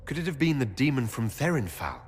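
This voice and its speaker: An actor playing a heroic elf leader in a video game, putting pointed questions in cutscene dialogue. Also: male voice, mid-range voice, big pitch swings, a little fast, husky. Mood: concerned. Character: calm, challenging heroic young male elf leader.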